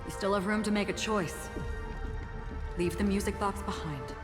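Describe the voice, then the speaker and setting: A woman playing a calm, weary, enigmatic swordswoman in a video game, speaking in a measured, solemn way. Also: medium pitch, big-room sound, over loud music, natural voice. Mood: calm, firm. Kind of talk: restrained video game acting.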